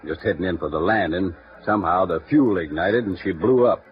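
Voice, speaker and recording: An actor playing a bluff, gruff small-town newspaper editor who talks in a blunt, folksy way, in a 1950s radio drama. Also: male voice, low-pitched, fairly quick, natural voice. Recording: muffled vintage radio broadcast with faint hiss.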